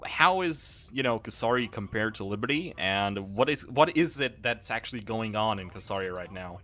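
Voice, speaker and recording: A man's voice: lowish voice, lively intonation, moderate pace, pushed and bright. A young man hosting a casual interview chat, joking and swearing easily, with a lot of bounce in his voice. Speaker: channel host.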